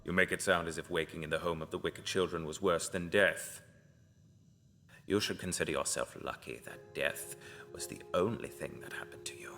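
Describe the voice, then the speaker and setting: Macabre audio drama tailor: extremely low, creaky, apologetic and halting, with music underneath. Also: male voice, fairly bright tone. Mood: bitter, solemn. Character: gruesome but apologetic underground tailor, cult member who stitches corpses.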